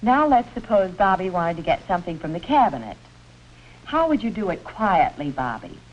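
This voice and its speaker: Animated woman schoolteacher on old film soundtrack. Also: lowish voice, normal speed. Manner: instructive, prompting pupils with questions. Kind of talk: scripted classroom instruction in 1950s film. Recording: muffled, noisy 1950s film soundtrack.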